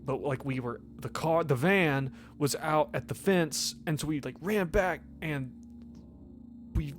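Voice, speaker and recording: With faint music behind, a man acts out a guilt-ridden young man in a tabletop game. He speaks in halting, slangy bursts full of swearing, stammering as if under questioning. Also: on the high side, lightly acted. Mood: shaken.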